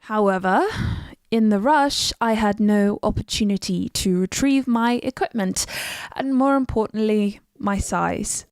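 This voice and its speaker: A woman playing a composed, wry female adventurer companion in scripted fantasy game dialogue, speaking in a measured, deliberate way. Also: mid-range voice, darkish tone, smooth, no echo, studio quality, natural voice. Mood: measured regret.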